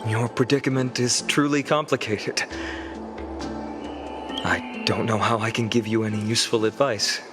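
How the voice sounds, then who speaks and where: A man playing a thoughtful, courteous young hero in a video game, speaking in an earnest, sympathetic, measured way. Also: on the low side, fairly even intonation, natural voice. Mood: sympathetic, pensive. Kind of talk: dramatic video game voice acting. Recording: loud background music.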